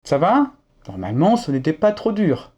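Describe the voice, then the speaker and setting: Male language teacher, mellow voice, animated intonation, patient explainer. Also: on the high side, normal speed, highs cut off. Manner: clear, patient explanations addressed to the learner. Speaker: French teacher. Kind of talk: scripted lesson narration.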